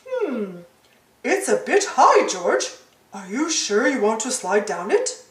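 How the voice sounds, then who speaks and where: A woman's voice: fairly high pitch, wildly swooping pitch, slow pace, echoey room, far from the mic, full character voice. A woman voicing a kindly, nervous father pig in a storybook, with a hesitant, reassuring manner. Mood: gently concerned.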